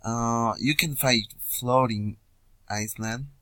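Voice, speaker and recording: A young man from Peru with a Peruvian Spanish accent, introducing himself informally as a student in hesitant learner English with frequent pauses. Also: mid-range voice, steady tone, fairly bright tone, no room sound. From Puno, Peru. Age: young adult. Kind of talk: informal self-introduction video.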